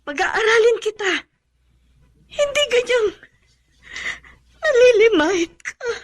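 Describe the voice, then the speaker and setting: Tender, animated Filipino foster mother. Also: very high-pitched, slow pace, exaggerated voice. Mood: tearful, loving. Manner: tender, emotional. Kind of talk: Filipino radio drama acting.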